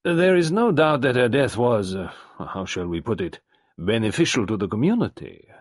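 A man voicing an earnest middle-aged French doctor who speaks haltingly and thoughtfully. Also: mid-range voice, sing-song, dry recording, clean studio sound, voice pushed up. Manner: halting, thoughtful, with hesitant fillers.

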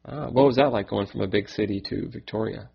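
Gravelly, raspy male interviewer. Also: on the low side, fast talker. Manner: short interested prompts and questions. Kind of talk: casual interview chat. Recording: dull lo-fi recording.

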